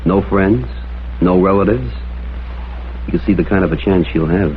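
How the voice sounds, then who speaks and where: Actor as low-voiced hard-boiled American private eye, tinny soundtrack. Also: male voice, moderate pace, slight background noise, lightly acted. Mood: grave, pointed. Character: hard-boiled male private detective, wry, probing, coolly persistent. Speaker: actor playing detective and narrator. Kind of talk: mid-century American crime drama acting and narration. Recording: tinny vintage soundtrack, faint music behind.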